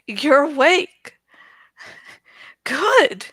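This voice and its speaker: A woman voicing a brisk, kindly, scolding village healer in a storybook reading, with a firm, motherly manner. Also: high voice, sing-song, fairly bright tone, no echo, clean studio sound, voice pushed up, heavily acted. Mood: welcoming.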